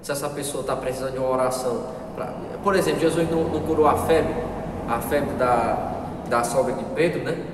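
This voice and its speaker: A man's voice: medium pitch, fairly quick, background noise. A Brazilian man teaching a Sunday school Bible lesson in church, earnest, often repeating himself and asking the listeners questions. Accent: Brazilian Portuguese. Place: church hall. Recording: echoey hall, distant mic, faint ambience.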